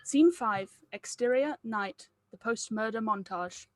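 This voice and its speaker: A woman's voice: fairly high pitch, a little slow. A woman reading scripted stage directions aloud at a table read.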